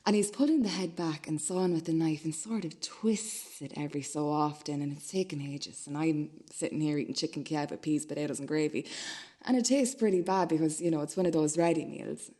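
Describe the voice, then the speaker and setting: A woman acting an uneasy, obsessive loner in a radio play monologue, hesitant and rambling, with a husky, breathy voice. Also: low voice, fairly quick, dark tone. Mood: uneasy fascination.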